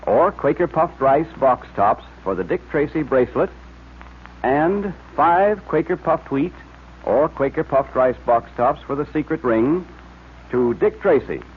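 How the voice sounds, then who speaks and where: A man's voice: fairly high pitch, expressive, unhurried, breathy edge. An American man announcing in a polished, emphatic 1940s radio advertising and narration style. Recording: scratchy old radio broadcast, narrow band, hiss.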